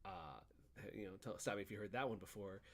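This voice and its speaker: A man co-hosting a casual chat, recapping a video game in a rambling, animated storytelling way. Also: deep voice, brisk. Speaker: podcast co-host.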